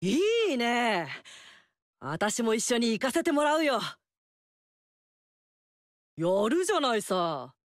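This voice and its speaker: A woman's voice: on the high side, big pitch swings, takes it slowly, long pauses, no room sound, studio quality. An actress playing a fiery, confident warrior queen in a video game, with brash, teasing, tomboyish lines. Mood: proud.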